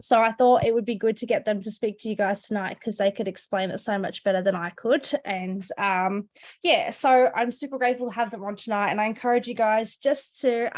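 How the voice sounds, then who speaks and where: A woman opening an online event as its host on a video call, upbeat and full of "um" fillers as she introduces the speakers. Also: on the high side. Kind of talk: welcome and introduction.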